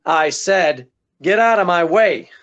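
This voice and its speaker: A man's voice: high-pitched, animated, slow, a bit bright, heavily acted. A man playing a tough, wisecracking private detective in 1940s radio style, brusque, slangy and commanding, on a dull recording. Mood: grimly determined. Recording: dull, band-limited sound.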